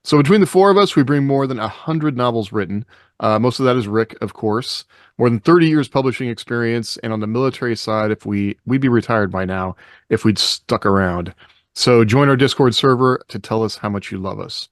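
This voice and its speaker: A man's voice: medium pitch, fairly quick, dry recording, studio quality. A man hosting a podcast in a casual, chatty panel style. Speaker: podcast host.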